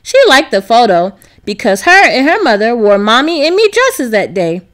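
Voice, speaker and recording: A woman's voice: on the high side, very animated, normal speed, fairly bright tone. A woman narrating a scripted children's story as a storybook reader.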